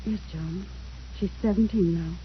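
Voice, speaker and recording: A woman's voice: medium pitch, a little slow, natural voice. A woman playing a weary, remorseful middle-aged former wife in an old-time radio drama, hesitant and pleading. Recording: dull, faintly noisy old radio recording.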